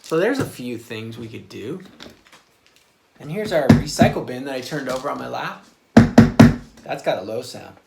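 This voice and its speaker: A man giving a casual, hands-on drum lesson for children, talking in a halting, exploratory way. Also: mid-range voice, expressive, creaky, loud music behind the voice. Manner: halting, exploratory, sounding out rhythms with his mouth. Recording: roomy echo, loud clattering percussion noise. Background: banging on household objects.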